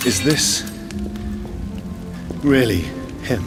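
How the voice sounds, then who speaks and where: A man acting an educated, brooding gentleman vampire doctor in a video game, speaking in a grave, formal, probing manner. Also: mid-range voice, extremely slow. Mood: awed curiosity. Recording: music and rain behind the voice.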